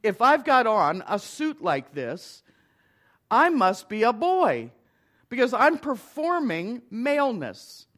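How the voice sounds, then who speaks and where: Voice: mid-range voice, very animated, slow pace, fairly bright tone. A middle-aged minister giving a conversational lecture to an audience, with wry asides.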